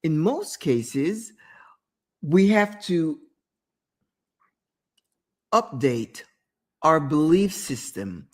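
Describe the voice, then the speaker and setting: Woman talk-show host, motivational storyteller, slow and expressive delivery, emphatic repetition, direct address to the audience. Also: low voice. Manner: emphatic, repeats words for effect, addresses listener directly; storytelling with quoted inner thoughts.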